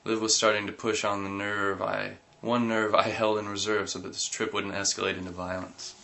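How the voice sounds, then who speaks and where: A man reading a comic story aloud, animated, breaking into giggles and sudden yelps. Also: lowish voice, normal speed, creaky. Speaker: reader.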